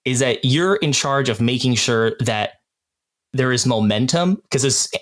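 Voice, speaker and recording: A man's voice: medium pitch, measured pace, a bit bright. A man speaks in an ordinary middle register. He explains his thinking in a casual, thoughtful way, as an experienced player talking to fellow cast members. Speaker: podcast cast member, experienced game master. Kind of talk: casual discussion.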